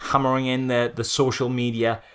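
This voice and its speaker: A man's voice: mid-range voice, little pitch movement, a little fast, clear, resonant tone. A man co-hosting a podcast, critiquing a film in casual chat with an exasperated, sarcastic manner. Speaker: podcast co-host.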